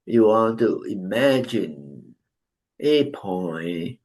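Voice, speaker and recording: A man guides a breathing meditation in a somewhat low voice. He speaks very slowly and calmly, leaving long pauses between short instructions. He gently corrects posture and points attention back to the breath. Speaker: meditation teacher.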